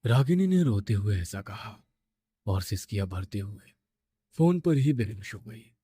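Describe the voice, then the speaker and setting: A man's voice: lowish voice, big pitch swings, measured pace, mellow, husky. A man narrating a scripted horror story with suspenseful, dramatic phrasing.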